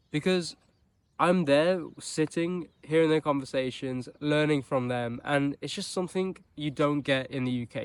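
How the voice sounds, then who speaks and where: A man's voice: a little high, dry recording. A young man with a Birmingham accent, chatting to camera as a vlogger, upbeat and loose, with frequent restarts and asides. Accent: Birmingham English. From England. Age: young adult. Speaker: vlogger and startup founder. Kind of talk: casual vlog talk to camera. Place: outdoors in a mild city.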